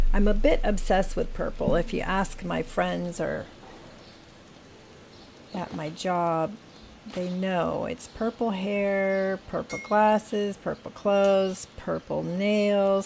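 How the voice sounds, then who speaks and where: An older woman with a scratchy voice, slowly thinking aloud as she plays a video game. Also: on the low side, gravelly. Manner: thinking aloud, slow and musing. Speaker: gaming channel host. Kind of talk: casual gameplay commentary. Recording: steady rain noise behind. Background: rain.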